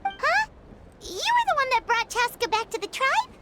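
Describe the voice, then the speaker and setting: A tiny, gluttonous game fairy, squeaky and extremely high. The voice swoops wildly up and down with childlike bluntness and curiosity, over clear background music. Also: female voice, big pitch swings, moderate pace. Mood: surprised, teasing. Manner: refers to self in third person, exclaiming. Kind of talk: video game voice acting.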